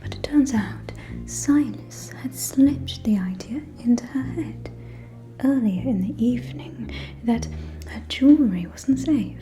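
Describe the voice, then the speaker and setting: A woman's voice: on the high side, expressive, soft background music, low-quality recording. A woman playing a catty, gossiping aristocratic vampire lady, speaking in an arch, conspiratorial manner in an ASMR roleplay. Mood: conspiratorial warning. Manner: arch, conspiratorial, drawn-out, with sighs and soft chuckles.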